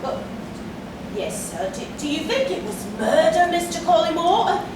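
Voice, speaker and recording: A flustered, deferential manservant, played by a woman in a stage murder mystery. The voice is very high and swings widely in pitch, hesitant and theatrical, heard from a distance in a noisy hall. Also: sing-song, normal speed. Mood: bewildered. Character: deferential, flustered male manservant in a country manor. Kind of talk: theatrical staged whodunit acting. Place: stage in a hall, recorded from afar.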